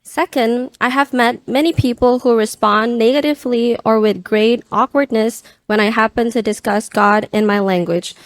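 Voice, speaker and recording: A woman's voice: a little high, fairly even intonation, unhurried, few pauses, no room sound. A woman from Indonesia, earnestly reading a prepared question aloud.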